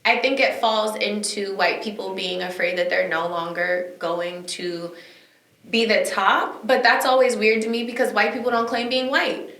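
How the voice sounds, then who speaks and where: Bright, emphatic woman in an echoey room. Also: medium pitch. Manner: animated, emphatic, quick asides. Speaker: podcast host. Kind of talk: casual chat. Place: recorded on location, indoors.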